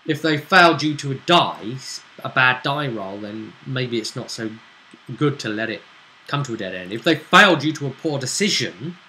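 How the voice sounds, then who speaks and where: A man's voice: medium pitch, expressive, normal speed, sharp tone, low-quality recording. A man acting as game master, recapping a tabletop role-playing session in an explanatory, conversational way with frequent tag questions. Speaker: game master and show host.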